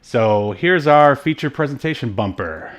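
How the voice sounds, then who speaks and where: A man's voice: mid-range voice, lively intonation, a little slow. A man co-hosting a podcast in casual, jokey banter, often chuckling as he talks. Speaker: podcast co-host.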